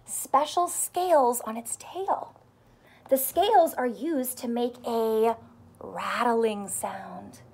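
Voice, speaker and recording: A woman in her thirties, a primary school teacher reading aloud to young children in an expressive, carefully enunciated way and asking them questions. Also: on the high side, slow pace, husky. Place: small home room with sofa and soft toys.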